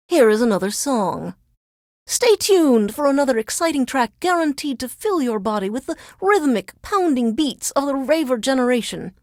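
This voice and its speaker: A woman's voice: high voice, darkish tone, no echo, clean studio sound, exaggerated voice. An actress playing a resentful female news reporter forced to work as a radio DJ in a video game, with a formal, dramatic, sardonic newscaster delivery. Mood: bitter, sardonic. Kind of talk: scripted radio DJ links in newsreader delivery.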